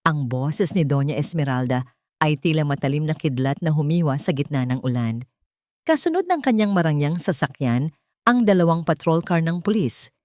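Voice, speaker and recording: A woman's voice: low voice, warm tone, no room sound, studio quality. A woman narrating a scripted audiobook drama in a dramatic storytelling style.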